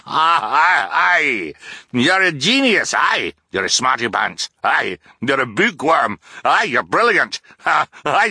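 A man voicing a blustering, excitable ship captain who shouts and tacks "aye" onto everything. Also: medium pitch, slightly rough, no echo, clean studio sound, voice pushed up, heavily acted. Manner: loud, exclaiming, tacks on "aye" constantly.

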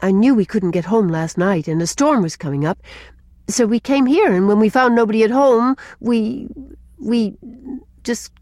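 A woman's voice: medium pitch, sing-song, normal speed, darkish tone, dry recording, studio quality. A woman voicing a plucky, sensible young woman in an audiobook story. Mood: flustered, apologetic. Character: young woman, sensible, plucky, chatty, flustered when cornered. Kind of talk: audiobook character dialogue.

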